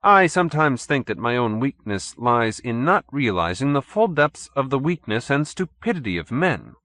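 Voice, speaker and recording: A man's voice: mid-range voice, sing-song, normal speed, a bit bright, no echo, pitched above the performer's own voice. A man voicing a haughty, urbane cult leader in an audiobook, pompous and sternly courteous. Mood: urbane, condescending. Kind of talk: audiobook character acting.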